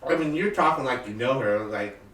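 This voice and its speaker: A man of medium pitch chats casually on a podcast, sounding roomy and set back from the microphone. There is faint noise behind him. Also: fairly quick, fairly bright tone, distant mic. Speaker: podcast participant. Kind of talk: casual chat.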